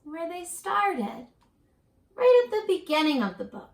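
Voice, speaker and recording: A woman doing an animated read-aloud for young children as a teacher, gentle and encouraging, pausing to put questions directly to the listener. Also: extremely high pitch, echoey room. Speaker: teacher reading a picture book aloud to children.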